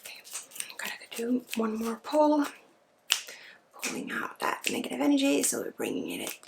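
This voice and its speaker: A woman leading an ASMR energy healing session, soft-spoken and often slipping into a breathy whisper. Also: a little high, huge pitch swings, normal speed, very noisy. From Sweden. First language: Swedish. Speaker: energy healer and spiritual guide.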